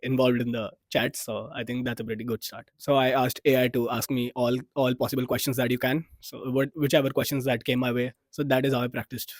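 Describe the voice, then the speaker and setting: Fast, flat-voiced young man. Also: medium pitch, dry recording. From India. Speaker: graduate student. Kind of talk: student testimonial.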